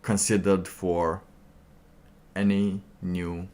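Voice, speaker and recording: Male streamer, deep register, unhurried and halting, thinking aloud in loose, trailing phrases. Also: flat delivery, a little mellow. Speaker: livestreamer. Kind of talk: casual livestream monologue.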